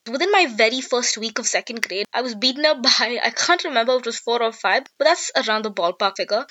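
Young woman, fairly high voice, bright tone, essay narration, muffled lo-fi sound. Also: expressive, fast talker. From India. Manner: articulate, sharply political, wry. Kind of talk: scripted personal essay narration. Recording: dull lo-fi recording.

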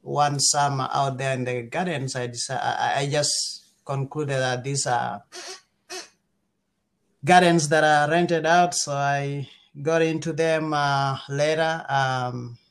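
A middle-aged man with a Kenyan accent, talking casually as an interview guest and pausing often mid-sentence. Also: medium pitch, steady tone, clean tone.

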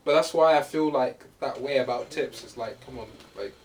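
A young man hosting a casual chat podcast, opinionated and quick to laugh. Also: medium pitch. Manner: laughs often, animated and opinionated. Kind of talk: casual chat among friends. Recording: distant mic, faint room noise.

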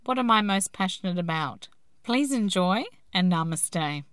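A woman's voice: lowish voice, animated, measured pace, fairly bright tone, slight background noise. A woman hosting a podcast, giving a thoughtful, musing introduction. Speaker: podcast host.

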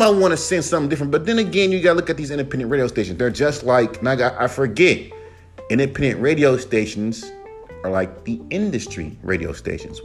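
A man with a smooth baritone voice, hosting a casual solo podcast and ranting about his own music, swearing often. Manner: animated, swears often, jumps to a high voice when mimicking fans. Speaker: podcast host and rapper. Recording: roomy room with guitar music behind.

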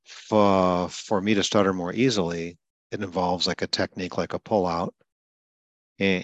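An older man who stutters a little himself, calmly explaining ideas to a client in an interview. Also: sits low, dry recording. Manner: explains slowly and deliberately, stutters lightly himself. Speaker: speech coach interviewing a client. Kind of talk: calm conversational interview.

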